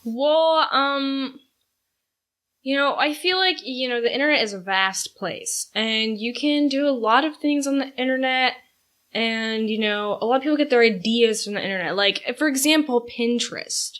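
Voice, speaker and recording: A woman's voice: on the high side, normal speed, a bit bright. A young woman co-hosting a casual podcast with her mother, giggly and chatty, with lots of fillers. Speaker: podcast co-host, daughter. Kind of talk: casual chat.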